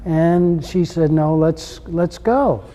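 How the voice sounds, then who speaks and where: An older man in a casual interview, telling stories in a relaxed, chuckling way. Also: a little high, slow, low-quality recording. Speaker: interviewee, husband.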